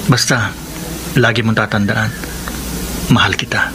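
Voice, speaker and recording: An actor playing a lovelorn but accepting Filipino friend in a radio drama, wistful and restrained. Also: male voice, lowish voice, steady tone, measured pace, music in the background, lightly acted. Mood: wistful, resigned.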